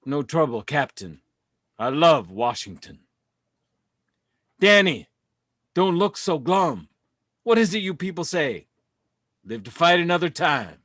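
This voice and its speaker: Haughty military colonel for courtroom drama, male actor: high, sharp voice, very dry sound, contemptuous and emphatic. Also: very animated, slow, long pauses, no echo, full character voice. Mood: dismissive, smug. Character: arrogant, commanding male Marine colonel on the witness stand. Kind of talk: dramatic script reading.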